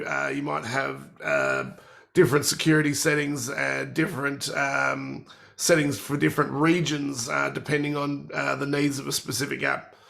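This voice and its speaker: A man with a cold and a croaky, gravelly voice, presenting a technical workshop and walking through product features. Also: a little high, a bit bright.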